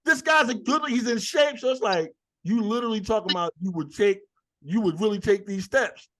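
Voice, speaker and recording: A man hosting a casual group chat over a video call, teasing his guests in an animated, exclamatory way. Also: sits high, brisk, dry recording. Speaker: host. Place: home room.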